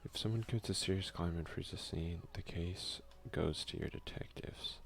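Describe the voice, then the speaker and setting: Hushed, very creaky male ASMR gamer. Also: low voice, normal speed. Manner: hushed, casual. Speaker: ASMR gaming host. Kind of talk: soft-spoken ASMR let's play commentary.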